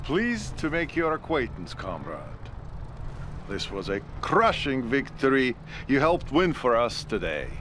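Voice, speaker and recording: A man playing a cool, courteous Soviet army major in a video game cutscene, with a formal, deliberate delivery. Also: mid-range voice, very animated, background noise. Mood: composed, confident.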